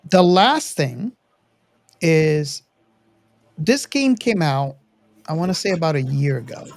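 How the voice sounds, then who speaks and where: Guy hosting a casual podcast, voice a bit high, rambles haltingly, really dry close sound. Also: no room sound. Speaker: podcast host. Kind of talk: casual hobby chat.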